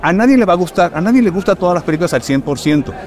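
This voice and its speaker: A middle-aged film director from Puerto Rico with a mixed Caribbean and Mexican Spanish accent, chatting casually and telling stories with lots of fillers. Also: male voice, sits high, expressive, rapid-fire, a little mellow. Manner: chatty, storytelling, fillers like "este". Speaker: film director, podcast guest. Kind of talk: casual conversation.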